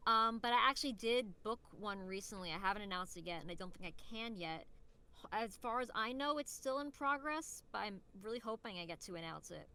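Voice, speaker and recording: A woman's voice: medium pitch, fairly quick, bright, forceful tone, smooth. A young woman in casual podcast chat, enthusiastic and rambling, peppering her sentences with "like". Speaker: voice actor, podcast guest.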